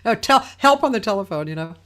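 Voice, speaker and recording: A woman hosts a casual live online interview from home, relaxed and laughing along with her guest. Her voice sits at an ordinary mid pitch. It comes through a video call. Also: quick pace. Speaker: host.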